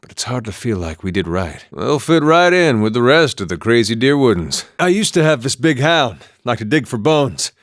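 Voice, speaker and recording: An actor playing a laid-back, wry farmer and war veteran in a video game, with a folksy drawl and dry, understated humor. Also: male voice, mid-range voice, sing-song, moderate pace, hardly any pauses, no echo, close to an everyday voice. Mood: easygoing, dry. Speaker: video game companion character. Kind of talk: video game voice acting, story, banter and fight barks.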